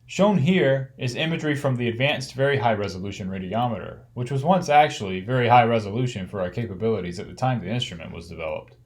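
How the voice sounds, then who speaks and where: Male lecturer explaining slides in measured phrases. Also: medium pitch, lively intonation. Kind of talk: narrated slide lecture on satellite imagery.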